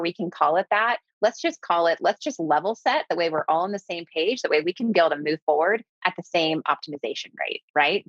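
A woman speaking as an interview guest, pressing her points and repeating words for weight. Her voice sits fairly low for a woman. The sound is close and dry, a little dull and lo-fi. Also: dry recording. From southeastern United States. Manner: emphatic, repeats key words, animated delivery. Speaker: guest, business owner. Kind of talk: conversational interview.

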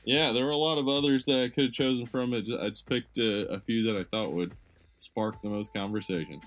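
A man with a loud voice co-hosting a podcast, chatting about a movie in an easygoing way. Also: mid-range voice, fairly even intonation, brisk. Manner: easygoing, agreeable, frequent laughs and "yeah"s. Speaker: podcast co-host. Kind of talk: casual podcast chat.

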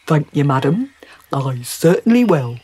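A kindly gentleman trout from a storybook, acted out by a woman, speaking in a husky voice with lively swoops. He is courteous and old-fashioned, and never hurries his words. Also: deep voice, measured pace, heavily acted. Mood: courteous, amused. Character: polite, kindly male talking trout granting wishes.

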